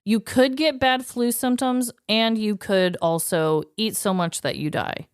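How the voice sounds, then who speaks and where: A woman co-hosting a podcast in casual, jokey chat, informal and quick to laugh. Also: on the high side, fairly even intonation, clean tone, no echo, studio quality. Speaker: podcast co-host. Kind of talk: casual comedic chat with a prepared list.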